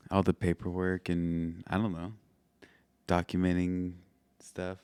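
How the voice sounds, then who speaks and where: Low, clean-voiced man chatting offhandedly. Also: completely flat delivery, measured pace, darkish tone, clear, resonant tone, no room sound. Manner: informal, loose, offhand. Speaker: podcast co-host. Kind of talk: casual chat, reacting and asking questions.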